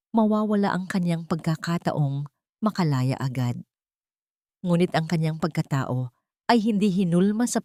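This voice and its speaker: Female narrator for dramatic fiction: low register, solemn measured delivery, very dry close sound. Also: a little mellow, dry recording. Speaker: story narrator. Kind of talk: dramatic scripted story narration.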